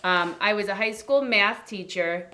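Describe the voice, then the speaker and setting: A woman hosting a casual live cooking stream from her home kitchen, chatty and upbeat, with a loud teacher voice, picked up by a camera across the room. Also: mid-range voice, unhurried. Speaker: cooking livestream host and coach. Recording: echoey kitchen with faint noise.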